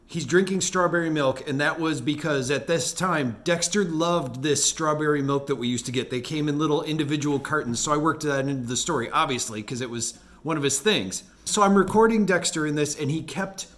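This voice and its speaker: A man's voice: fairly high pitch, fairly quick, few pauses, fairly bright tone. A man talking casually as an animator hosting a look back at his work, in a rambling, self-amused way. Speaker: animator and video host. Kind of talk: casual retrospective commentary.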